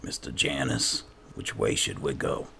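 A man doing roleplay commentary over a video game, halting and musing to himself as he plays. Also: on the low side, huge pitch swings, gravelly, bright, forceful tone, breathy edge. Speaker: gamer narrating in character as he plays. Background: game music.

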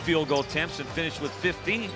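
Man, middle-aged, sports host, brisk polished delivery, music underneath. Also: a little high. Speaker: play-by-play host. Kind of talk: post-game sports wrap. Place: arena broadcast desk. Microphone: headset mic.

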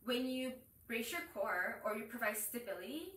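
A young woman teaching a yoga class in a small room, her voice set a little high and caught from across the room by a distant camera. The room adds a clear echo. She explains each point and breaks off to cue inhales and exhales as she moves through the poses. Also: animated, moderate pace, fairly bright tone, a little hiss or hum. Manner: explains while demonstrating poses, cueing breaths. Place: small room with curtains and yoga mat, indoors. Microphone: far camera. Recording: echoey room, far from mic.

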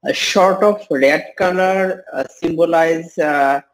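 Young man from Bangladesh, slow halting speech with fillers, faint room hum. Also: a little high, rough recording. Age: young adult. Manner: hesitant, many fillers. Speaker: host practicing English. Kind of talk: English practice conversation. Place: small room indoors.

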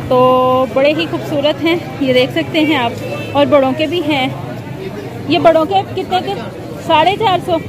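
A woman's voice: high-pitched, steady tone, normal speed. A woman vlogger walking through a market, chatting upbeat and asking shopkeepers for prices. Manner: chatty and upbeat, praises items as she goes. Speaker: shopping vlogger. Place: busy outdoor bazaar. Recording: noisy street recording with crowd. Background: loud crowd and traffic noise.

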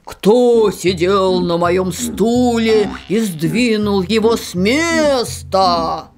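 A woman voicing a big, shaggy, angry father bear in a slow, drawn-out growl. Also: medium pitch, expressive. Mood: angry roaring.

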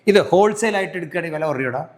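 Higher-pitched man hosting. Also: lively intonation, very fast. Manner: talkative, prompting with tag questions. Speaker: video host interviewing a salesman. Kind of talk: promotional product interview, casual.

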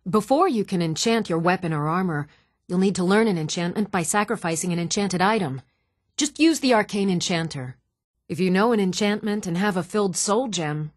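An actress playing an even-toned townswoman in a fantasy video game, speaking scripted lines in a measured, matter-of-fact way. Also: mid-range voice, dry recording, clean studio sound, lightly acted.

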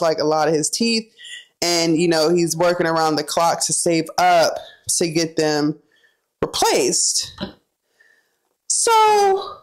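A young woman vlogger chatting casually as she cooks, telling a gossipy story full of "like" and "you know" while walking through each kitchen step. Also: lowish voice. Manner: cooks while talking, narrating kitchen steps.

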